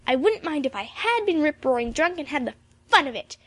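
A woman's voice: high-pitched, big pitch swings, fairly quick, no echo, pitched above the performer's own voice. A woman voicing a fiery, irreverent teenage girl in a storybook, vehement and theatrical in manner. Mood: indignant.

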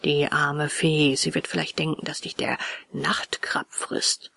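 A woman's voice: low-pitched, little pitch movement, unhurried, gravelly, a bit bright, slightly rough, whispered, pitched below the performer's own voice, heavily acted. A woman voicing a sly, lazy schoolboy tempter in a storybook, with a coaxing, dismissive drawl. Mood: mocking. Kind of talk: storybook character dialogue.

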